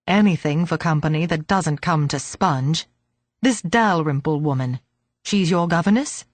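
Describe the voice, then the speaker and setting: A woman reading a storybook voices a frail, grumpy old man who is gruff but kindly and speaks in a creaky, growling way. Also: sits low, unhurried, dry recording, voice pushed down. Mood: grumbling. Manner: grumbling, gruff.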